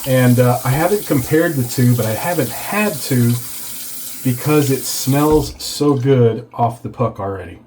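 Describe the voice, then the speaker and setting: A man hosting a casual shaving video, congested and a bit hoarse from a cold, chatting as he lathers. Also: mid-range voice, lively intonation, unhurried, a little mellow, slight background noise, rough recording. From Texas. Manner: chatty, reads product blurb aloud. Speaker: shaving channel host. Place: home office.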